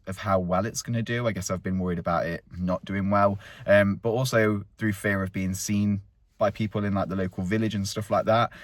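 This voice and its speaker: A man in his thirties talks in a loose, rambling, chatty way, like a vlogger on a walk. His voice sits fairly low. The sound is close and dry, with no room around it. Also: no room sound. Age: 30s. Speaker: vlogger host. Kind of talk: casual walk-and-talk vlog. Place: outdoors on a countryside walk.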